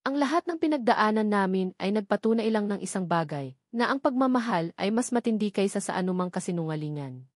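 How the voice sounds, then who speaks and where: A woman voicing a calm, resolute, devoted man who speaks clearly, steadily and sincerely in a romance story. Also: mid-range voice, brisk, dry recording, clean studio sound, lightly acted. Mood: calm, firm.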